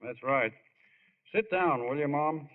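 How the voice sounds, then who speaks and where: An actor playing a young bridegroom who speaks in a straightforward, firm and unpretentious way in a 1940s radio drama. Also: male voice, fairly high pitch, slow. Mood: firm, affectionate. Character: plain-spoken, decisive young bridegroom, famous ballplayer. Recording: thin, muffled old radio broadcast.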